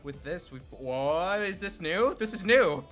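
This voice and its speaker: A man's voice: high voice, animated, a little slow, over music. A man bantering casually as he follows along with a video game. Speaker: co-player in a group fandub playthrough.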